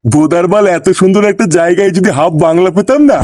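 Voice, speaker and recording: A man's voice: high-pitched, fast, darkish tone, natural voice. A man voicing a lazy, foul-mouthed, bossy loafer in a comedy cartoon, talking in crude slang. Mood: idle, bossy. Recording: clearly noisy background.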